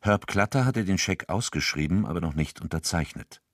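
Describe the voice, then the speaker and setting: A man's voice: sits low, animated, fairly quick, no room sound, clean studio sound. A man acting as the sober narrator of a crime audio drama, delivering scripted literary narration.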